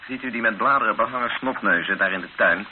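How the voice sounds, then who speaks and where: A man's voice: lowish voice, quick pace, close to an everyday voice. An actor playing a bitter, skeptical man held hostage in a Dutch-language radio drama, formal and challenging. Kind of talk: radio drama acting and first-person narration. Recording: hissy, narrow-band old radio recording.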